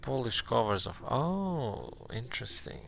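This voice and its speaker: Gravelly-voiced Serbian man streaming a game. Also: mid-range voice, big pitch swings, measured pace, fairly bright tone. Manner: chatty, reads and thanks viewers, laughs often. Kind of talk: casual live-stream chat while gaming.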